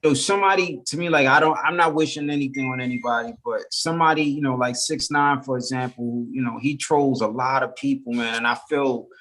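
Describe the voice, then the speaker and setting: A man's voice: mid-range voice, fairly even intonation, a little fast, few pauses, a bit bright. A man, a rapper guest from Brooklyn talking loosely in a casual interview, full of fillers. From Brooklyn, New York. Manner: laid-back, loose, full of "you know".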